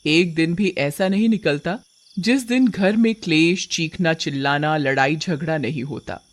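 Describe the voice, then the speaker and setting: A man's voice: fairly high pitch, a little slow. A man telling a scripted horror story as a dramatic narrator. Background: music.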